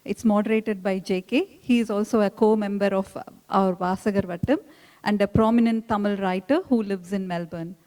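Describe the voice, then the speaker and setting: Mellow-voiced woman introducing a speaker. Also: mid-range voice, fairly even intonation, normal speed. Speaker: book club host.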